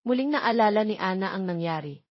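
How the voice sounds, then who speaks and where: Husky-edged woman narrating a romance story. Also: mid-range voice, expressive, a little fast, no room sound, muffled recording. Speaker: audiobook narrator.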